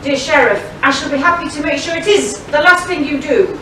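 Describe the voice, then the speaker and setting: A woman's voice: high-pitched, lively intonation, brisk, pushed and bright. A woman playing a bold, teasing pantomime outlaw hero with theatrical, heroic delivery. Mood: bold, taunting. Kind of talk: stage pantomime acting. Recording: very echoey hall, distant mic, clear noise.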